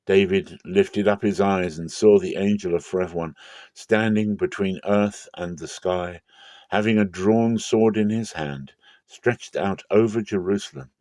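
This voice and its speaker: A man giving a solemn, deliberate dramatic reading of scripture as a narrator. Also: low voice, a little mellow, dry recording. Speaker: scripture reader.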